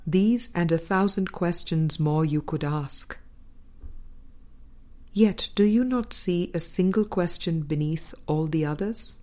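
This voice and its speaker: A woman reading the words of God as a calm, loving, wise teacher in a parable, solemn and tender. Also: lowish voice, takes it slowly.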